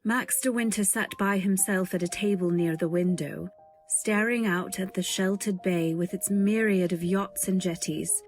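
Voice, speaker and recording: A woman playing a timid, wistful young woman who narrates an old-time radio drama in a measured, dreamy, deferential way. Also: mid-range voice, faint music behind, natural voice. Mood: dreamy, captivated.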